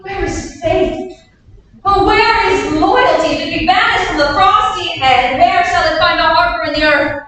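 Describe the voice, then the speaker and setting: A woman's voice: very high-pitched, unhurried, a bit bright. A woman playing a pious, reproachful king in a staged Shakespeare reading, pleading theatrically. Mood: wounded, reproachful. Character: pious, reproachful king betrayed by his lords. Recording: echoey hall, distant mic, faint noise.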